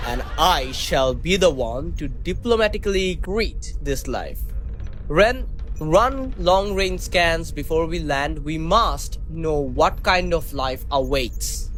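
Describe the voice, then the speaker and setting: An actor playing an egotistical space captain, pompous and theatrical. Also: male voice, on the high side, animated, takes it slowly, fairly bright tone, slight background noise, low-quality recording. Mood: commanding.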